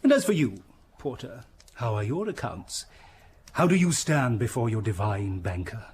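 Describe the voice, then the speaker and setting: A male actor playing a pompous, wealthy English gentleman in a period radio drama, blustering, hesitating and sermonizing. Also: mid-range voice, sing-song, normal speed, slight background noise, close to an everyday voice. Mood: condescending. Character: pompous, wealthy, self-satisfied gentleman and member of parliament.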